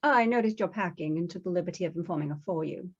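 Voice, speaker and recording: A woman's voice: on the low side, fast talker, husky, lo-fi sound. A woman playing a watchful, stony servant, formal and coolly polite, in a screenplay table read.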